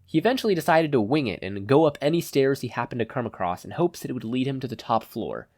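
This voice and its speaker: A man's voice: medium pitch, expressive, quick pace, darkish tone, no echo. Man narrating fiction, dry sound. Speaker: podfic narrator. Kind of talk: scripted narration of fan fiction.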